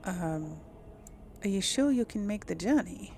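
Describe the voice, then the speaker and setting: A woman doing casual, chatty video game commentary with a creaky edge to her voice. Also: mid-range voice, expressive, a little slow, darkish tone, a little hiss or hum. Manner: chatty, talks to the audience. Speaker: gaming channel host playing through a role-playing game. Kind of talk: casual gameplay commentary with in-character dialogue read-alongs.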